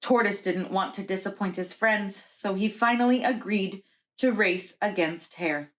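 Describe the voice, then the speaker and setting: Female preschool teacher, mid-pitched voice, reading a storybook aloud to young children. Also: measured pace.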